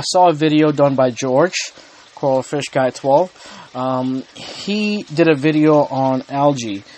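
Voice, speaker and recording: A man's voice: fairly high pitch, a little mellow. A man hosting a hobby channel, talking casually and unhurriedly, with frequent ums and restarts as he explains his tank. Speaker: aquarium hobby channel host. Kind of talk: casual explanatory talk to camera. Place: indoor home room with the aquarium.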